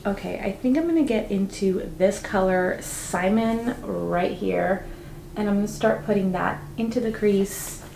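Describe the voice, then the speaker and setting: A woman vlogger chatting through a makeup tutorial, upbeat and full of fillers, her voice a little raspy from just waking up. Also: mid-range voice, a little slow, darkish tone, roomy sound, slight background noise. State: slightly raspy, just woke up. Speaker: beauty vlogger. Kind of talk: casual makeup tutorial talk.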